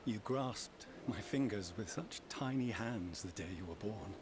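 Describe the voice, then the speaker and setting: Solemn dad leader in a fantasy game, lowish voice, weighty n formal, noisy background. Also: male voice, lively intonation, a little slow. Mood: tender, heavy. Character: grave older father, a dignified leader, earnest. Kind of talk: formal dramatic game dialogue. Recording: clear background noise.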